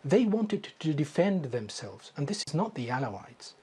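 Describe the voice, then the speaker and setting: A middle-aged man from Syria with a Syrian Arabic accent and a husky voice, speaking as a guest in a televised political debate. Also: on the high side, sing-song, normal speed, mellow. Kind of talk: political debate on a TV talk show.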